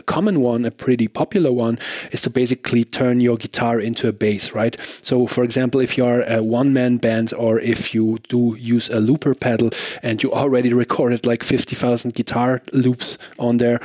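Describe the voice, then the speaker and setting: Guy doing a casual gear review, explains stuff conversationally, mid pitch voice, really dry sound. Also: a little mellow, dry recording. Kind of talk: casual product demo talk to camera.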